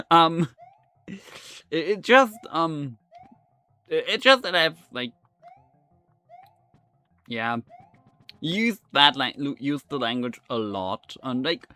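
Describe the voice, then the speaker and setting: A man's voice: on the high side, animated, takes it slowly, pauses often, bright, forceful tone, faint music behind. A young man whose first language is German, speaking English with a German accent while he streams and chats casually through a game, rambling with many fillers and restarts. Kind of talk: live gameplay commentary.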